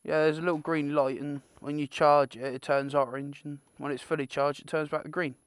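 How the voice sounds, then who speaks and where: A man's voice: fairly high pitch, flat delivery, brisk, smooth, dry recording, studio quality. A British man giving a casual, unscripted product unboxing, rambling and muttering asides to himself with the odd mild swear. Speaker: amateur video creator presenting an unboxing.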